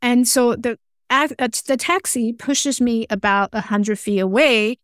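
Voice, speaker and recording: Higher-voiced woman telling her story. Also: a bit bright, smooth, no room sound, clean studio sound. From Taiwan. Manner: earnest, halting, with frequent restarts and small grammar slips. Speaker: podcast guest. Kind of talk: personal storytelling in conversation.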